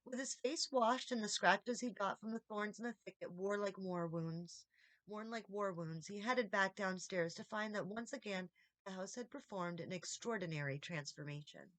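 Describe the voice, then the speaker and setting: A woman reading a children's story aloud as expressive audiobook narration. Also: medium pitch, moderate pace, dry recording. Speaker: audiobook reader.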